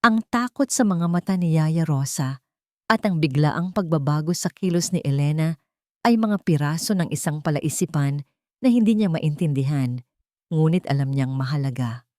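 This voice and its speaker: Low-pitched, expressive woman storyteller. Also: moderate pace, no room sound, clean studio sound. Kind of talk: scripted dramatic narration of a love story.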